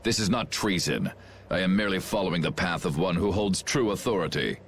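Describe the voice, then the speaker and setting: An actor playing a scheming middle-aged knight commander in a game, pompous, condescending and theatrical. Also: male voice, sits low, expressive, brisk, slight background noise. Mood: haughty, sardonic. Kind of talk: English game dub acting.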